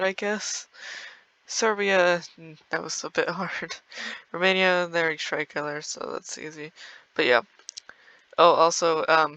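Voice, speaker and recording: A young man with a fairly high, bright voice talks loosely through a strategy game, stumbling over his words and laughing now and then. His tone is smooth and clear, recorded close and dry with no room sound. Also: no echo, rough recording. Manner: rambling, filler-heavy, laughs often. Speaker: gameplay video creator. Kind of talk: casual gameplay commentary.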